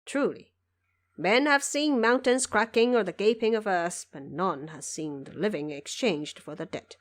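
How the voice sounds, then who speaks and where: A woman playing a dignified male emperor with a formal, measured manner in an audio drama. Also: mid-range voice, lightly acted. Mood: solemn. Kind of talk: audio drama character acting.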